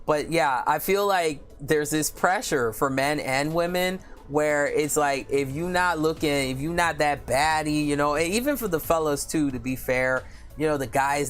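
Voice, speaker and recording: A woman with a deep voice talks to her live-stream viewers in a loose, candid way, full of "you know" and quick asides. She reads viewer comments aloud and answers them as she goes. Faint music plays behind her. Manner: candid and chatty, many "you know" fillers, quick laughs.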